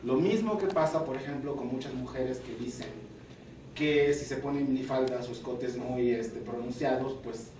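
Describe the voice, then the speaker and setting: A man from the port of Veracruz, an activist and group founder, speaking at a panel in a measured, halting way. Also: medium pitch, background noise. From port of Veracruz, Mexico. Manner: hesitant, many fillers, measured. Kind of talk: panel talk. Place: conference room. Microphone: table microphone, recorded by far camera. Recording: echoey room from far off.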